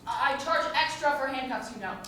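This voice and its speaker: A woman's voice: high-pitched, moderate pace, sharp tone, far from the mic. A woman playing a shameless, saucy streetwalker in a stage comedy, flippant and full of innuendo. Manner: suggestive, flippant. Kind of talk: musical comedy stage acting. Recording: echoey hall recorded from afar, noisy.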